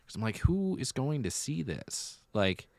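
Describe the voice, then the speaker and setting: A man's voice: mid-range voice, very animated, a little slow, gravelly, a little mellow, no echo. A man co-hosting a podcast, chatting casually and giving his opinions in an informal, fannish way. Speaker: podcast co-host. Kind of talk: casual chat about musical theatre.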